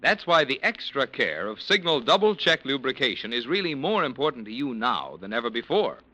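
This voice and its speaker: A man announcing a commercial break on 1940s radio with a lively pitchman delivery. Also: a little fast, no echo, poor audio.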